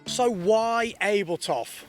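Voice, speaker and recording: A man's voice: sits high, slow pace, music in the background. A man presenting a windsurfing event report with energy, explaining things to viewers emphatically. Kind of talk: enthusiastic sports report presenting.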